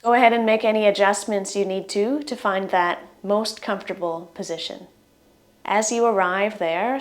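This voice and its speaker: A woman guides a relaxation meditation at a very slow, unhurried pace. Her mid-pitched voice gives gentle, soothing instructions in short phrases, letting the ends trail away.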